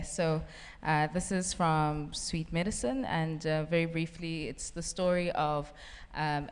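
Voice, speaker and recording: A woman's voice: low-pitched, clear, resonant tone. A woman novelist from South Africa, chatting on a festival panel with frequent "um"s and laughter, then reading from her book. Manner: chatty, laughs often, many "um" fillers. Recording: reverberant festival hall.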